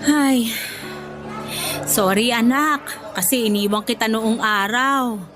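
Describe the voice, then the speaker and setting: A woman's voice: on the high side, slow pace, music in the background. An actress playing an affectionate, motherly older former nanny in a Filipino radio drama, with a Filipino accent. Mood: forgiving, tender.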